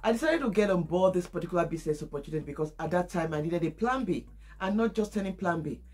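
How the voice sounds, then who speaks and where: A woman giving a brisk, matter-of-fact personal testimonial. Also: on the low side, expressive, darkish tone, faint music behind. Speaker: human services specialist.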